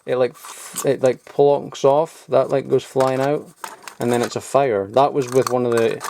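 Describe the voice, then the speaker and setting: A man's voice: mid-range voice, level tone, measured pace, vocal fry, noisy background. Rambling young man showing old toys. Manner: rambling, offhand, chuckles at himself. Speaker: toy collector vlogger. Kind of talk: casual show-and-tell. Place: home loft.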